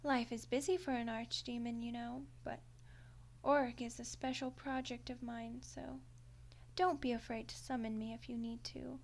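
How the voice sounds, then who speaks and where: A woman voicing an ancient female archdemon in a roleplay ASMR monologue, playful, condescending and coolly menacing, in an unhurried delivery with whispery touches. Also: a little high, flat delivery, gravelly. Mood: amused, smug.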